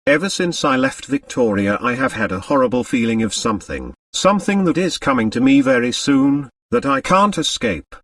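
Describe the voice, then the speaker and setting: A man's voice: mid-range voice, sing-song, normal speed, few pauses, a bit bright, dry recording, distant mic. A man voicing a distraught, wealthy young married woman in an audiobook, agitated and confessional. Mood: fearful.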